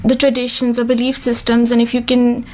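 A woman's voice: on the high side, completely flat delivery, a little fast, dark tone, a little hiss or hum. A woman on a discussion panel, who thinks aloud and hesitates with fillers as she talks.

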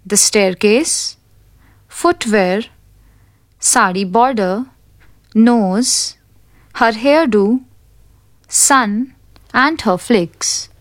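A woman narrates a school textbook for an audiobook, taking each item slowly and deliberately. Her reading stays careful and even, and the sound is dry and close. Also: on the high side, no room sound.

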